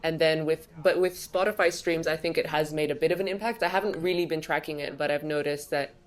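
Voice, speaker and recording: A woman with a notably low voice talks easily as a podcast guest. She grew up in Singapore and chats in a loose, open way, often reaching for "like" as she goes. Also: steady tone, brisk. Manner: open and chatty, many fillers like "like". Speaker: guest, songwriter and content creator. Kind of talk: casual podcast conversation.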